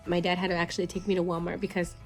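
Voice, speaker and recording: A woman's voice: fairly low pitch, completely flat delivery, very fast. Young woman, stuffy sore throat, casual rambling vlog chat, phone mic, music underneath. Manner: chatty, rambling with fillers. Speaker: vlogger. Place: indoors at home, then outdoors in snow. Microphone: phone held at arm's length.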